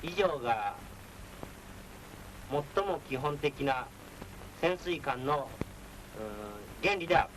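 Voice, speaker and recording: A man giving a technical military lecture as a naval instructor, measured, formal and authoritative. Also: medium pitch, lively intonation, a bit bright. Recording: noisy 1940s film soundtrack.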